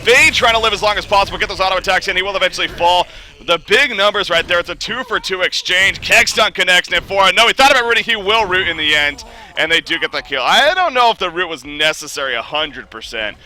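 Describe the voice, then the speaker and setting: Male esports caster, animated delivery, sharp bright tone, slightly raised pitch. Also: quick pace, poor audio. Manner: excitable, joking, rising pitch on big plays. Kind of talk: play-by-play game commentary.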